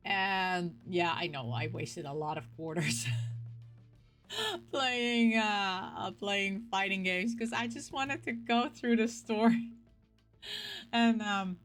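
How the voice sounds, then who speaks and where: A middle-aged woman with an average-pitched voice talks as a casual vlog host. She rambles in an animated, chatty way, drifting into asides and questioning herself as she goes. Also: takes it slowly, soft background music. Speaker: video game collecting show host. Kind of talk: casual rambling vlog chat about game pick-ups.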